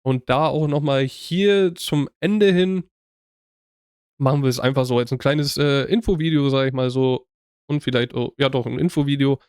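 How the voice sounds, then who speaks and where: Smooth-voiced young man chatting casually. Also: medium pitch, moderate pace, a little mellow, dry recording, studio quality. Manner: rambling, many fillers and restarts, casual slang. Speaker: YouTube reaction channel host. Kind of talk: casual talk to viewers.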